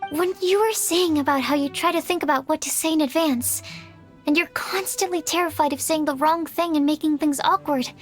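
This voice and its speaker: A woman acting out a bookish young female alchemist from a video game, with a very high, girlish pitch. She is earnest and socially awkward, choosing her words with halting care. Soft music plays along behind her. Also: lightly acted. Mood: earnest, reassuring. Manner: hesitant, soft-hearted, halting phrasing.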